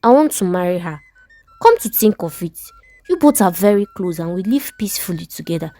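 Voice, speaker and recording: A woman's voice: lowish voice, animated, brisk, dark tone, soft background music. A woman voicing a middle-aged husband in a story, firm and matter-of-fact, with a Nigerian accent. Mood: resolved.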